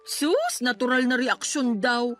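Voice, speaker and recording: A woman's voice: on the high side, sing-song, slow, a bit bright, soft background music, full character voice. A woman playing a brash, sulky young girlfriend in a radio drama, with a sarcastic, taunting manner. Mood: scornful, irritated. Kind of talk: Tagalog radio drama acting.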